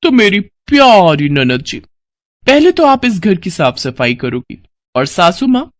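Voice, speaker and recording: A woman's voice: medium pitch, very animated, measured pace, dry recording. A woman voicing an arrogant young modern bride in a cartoon story, cheeky, defiant and bossy. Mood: haughty. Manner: haughty, cheeky.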